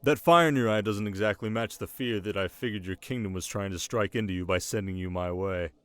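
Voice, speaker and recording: Man voicing a measured, regal young desert king, close and dry. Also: fairly low pitch, a bit bright, clean tone, no echo, lightly acted. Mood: measured, appraising. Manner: measured, teasing, regal, with dramatic pauses. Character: young, sharp, commanding desert king, intrigued and possessive. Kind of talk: scripted ASMR roleplay addressed to the listener.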